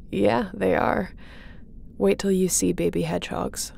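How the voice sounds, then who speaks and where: Woman acting a gravelly, tender spaceship captain. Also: mid-range voice, little pitch movement, slow pace, a little hiss or hum. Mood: wry, fond. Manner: soft, sighing, emotionally open. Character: battle-worn female spaceship captain, tender and wry. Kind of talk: intimate audio roleplay acting.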